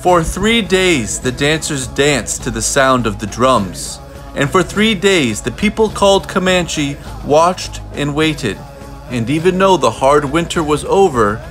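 Guy doing a kids story read-aloud, dramatic deliberate phrasing, bit of music under it. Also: a little high, expressive, a bit bright. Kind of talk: expressive children's picture-book read-aloud.

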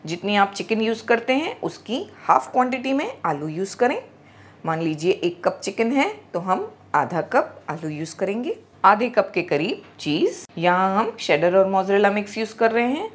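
A middle-aged woman talks through a home recipe, guiding the listener from one step to the next. Her voice rises and falls a lot, and she explains things patiently and clearly. She speaks into a small clip-on mic in a home kitchen. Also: mid-range voice, normal speed. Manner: calm, instructive, gently explaining. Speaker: cooking channel host.

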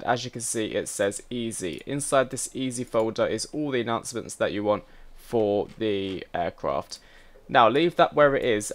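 A man hosts a casual software tutorial, chatty and instructional as he talks straight to viewers. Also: medium pitch. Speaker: host of a flight simulator tutorial channel.

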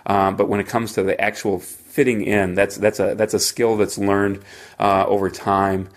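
A man with a fairly low voice hosts a podcast on his own. He talks informally and haltingly, working through his thoughts aloud and often circling back to rephrase. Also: little pitch movement. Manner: halting, rambling, thinking aloud. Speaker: podcast host; engineer. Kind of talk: informal solo podcast monologue.

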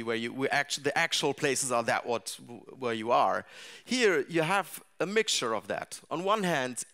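Middle-aged guy giving a talk to a room full of people, mid pitch, lots of restarts and ums. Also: a bit bright. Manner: thoughtful, frequent restarts and fillers. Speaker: larp designer giving a talk. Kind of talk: conference talk to a seated audience. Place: dark seminar room with audience.

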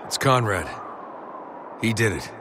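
An actor playing a grim, battle-worn army captain in a video game, speaking in terse, commanding phrases. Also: male voice, lively intonation, unhurried, noisy background. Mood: grim, subdued. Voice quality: low voice. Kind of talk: dramatic video game acting.

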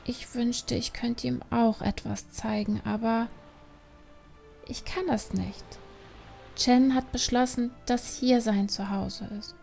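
A restless young panda heroine played by a woman from a fantasy audiobook, with a fairly high voice. She is defiant and full of feeling, and draws out her words over a music bed. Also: fairly even intonation, takes it slowly, creaky, darkish tone. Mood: wistful, frustrated. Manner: emotional, reproachful, dramatic. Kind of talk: dramatised fantasy audiobook dialogue.

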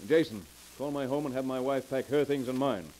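A man's voice: mid-range voice, a little fast, close to an everyday voice. An actor playing an anxious, commanding wealthy businessman, brusque and emphatic in 1940s radio drama style. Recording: hissy crackling 1940s radio recording.

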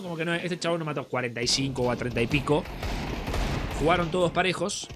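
A young man with a Rioplatense Spanish accent, congested from a cold, chatting casually with viewers while commenting on a game replay. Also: sits high. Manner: chatty, addresses viewers as friends. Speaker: gaming streamer. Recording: game music and gunfire behind.

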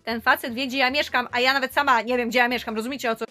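Young woman with a high voice, chatty vlog storytelling, talks with her hands, faint music under it. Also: steady tone, racing, pushed and bright. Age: young adult. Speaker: vlogger. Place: small indoor room.

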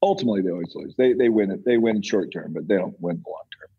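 A man's voice: deep voice, fast talker, husky, narrow, tinny audio. An American man giving opinionated interview answers as a guest, insistent and emphatic, repeating phrases for effect. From United States. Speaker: podcast guest, entrepreneur and author.

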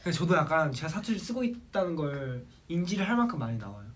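A man's voice: on the high side, big pitch swings, slight background noise. A young man from South Jeolla chatting casually with fans on a livestream and telling stories. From South Jeolla, Korea. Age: young adult. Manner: quick, chatty storytelling, reading out a list. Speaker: idol group member on a livestream. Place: small indoor room with a plain wall.

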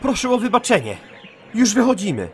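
A curious, impulsive young elf paladin, acted by a man, speaks earnestly in a very animated voice. Music plays clearly behind him in this fantasy radio play. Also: sits high, fairly quick. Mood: surprised, polite. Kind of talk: Polish fantasy audio drama.

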